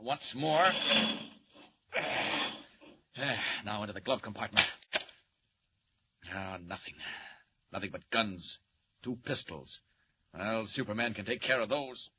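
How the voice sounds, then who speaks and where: An actor playing a confident male superhero in a 1940s radio drama, briskly thinking aloud as he acts. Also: lowish voice, expressive. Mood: intent, assured. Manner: brisk, self-narrating, assured. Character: confident heroic superhero man, muttering his plans aloud. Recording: dull, noisy 1940s radio recording.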